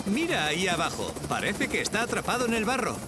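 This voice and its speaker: A man playing a calm, capable firefighter in a children's cartoon dub, steady and decisive. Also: sits high, quick pace, noisy background. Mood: focused. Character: calm, capable male firefighter leading a rescue team.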